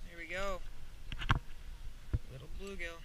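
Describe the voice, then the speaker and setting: A man's voice: sits high, slow pace. A teenage boy chatting casually to viewers while fishing from a small boat on a channel, filmed on an action camera outdoors. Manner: excited, chatty, talks to viewers as guys. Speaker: fishing vlogger. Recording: loud wind and water noise outdoors.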